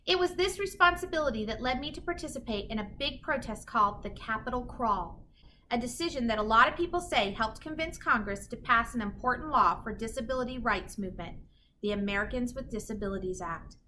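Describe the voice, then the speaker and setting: High, bright-voiced woman reading a children's book. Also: a little hiss or hum. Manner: animated, emphatic, deliberate storytelling phrasing. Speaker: children's story reader, teacher. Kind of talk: expressive read-aloud of a children's picture book.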